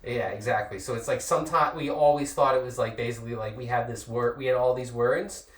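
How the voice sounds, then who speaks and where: A man with a mid-pitched voice chats casually as a podcast co-host, explaining things with the odd swear word. The room echoes and he is a little away from the mic. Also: fairly even intonation, fairly quick, far from the mic. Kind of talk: casual group chat.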